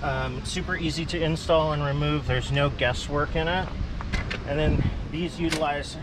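A man's voice: fairly high pitch, fairly even intonation, moderate pace. Guy in his 30s explaining his gear outside, lots of ums, noisy background. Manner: matter-of-fact, lots of ums. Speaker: gear vendor. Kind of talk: product explanation. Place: outdoor expo, next to a truck.

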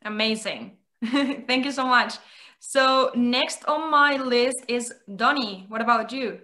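A young woman from Spain, moderating an online panel over a video call, quick and upbeat. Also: fairly high pitch, bright, forceful tone. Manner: quick, upbeat, laughs often. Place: small room indoors. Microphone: laptop webcam on a video call.

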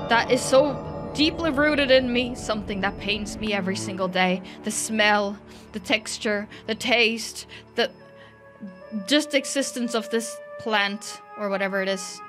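A woman's voice: on the high side, a bit bright. Theatrical young woman host over background music. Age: young adult. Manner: theatrical, playfully exaggerated. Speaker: gaming YouTuber, host. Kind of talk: playful casual chat, reading and answering viewer comments. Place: small indoor room set up for streaming. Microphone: close desk microphone with headphones.

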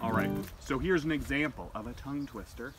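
A man in his thirties hosting storytime for young children outdoors, with an animated, gentle and expressive delivery. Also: medium pitch, a little fast, loud music behind the voice. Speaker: library storytime host. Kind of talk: read-aloud storytelling and chat for young children. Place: outdoors in a leafy garden. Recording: outdoor background noise.

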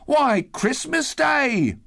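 A man's voice: very high voice, sing-song, very slow, fairly bright tone, voice pushed up, full character voice. A man voicing a young street boy with short, astonished, cheeky replies in a storybook reading. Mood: wondering. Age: child.

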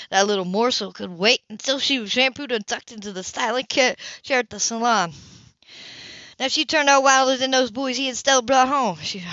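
Bright-voiced woman reading a novel aloud. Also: a little high, no room sound, lo-fi sound. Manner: homespun reading with stumbles and repeated words. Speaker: amateur audiobook reader.

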